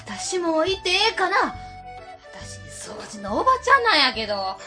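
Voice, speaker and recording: A woman's voice: very high-pitched, very animated, moderate pace, sharp tone, faint music behind, full character voice. A woman playing a chummy, pushy middle-aged cleaning lady with a Kansai accent in a radio drama. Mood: breezy, eager. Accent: Kansai Japanese. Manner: breezy, familiar, eager.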